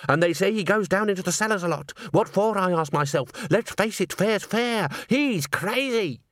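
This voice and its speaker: A man's voice: sits high, lively intonation, moderate pace, no room sound, studio quality, voice pushed up. A man voicing a doubting, gossipy theatre chorus member with an insinuating, hesitant manner in a storybook reading. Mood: suspicious.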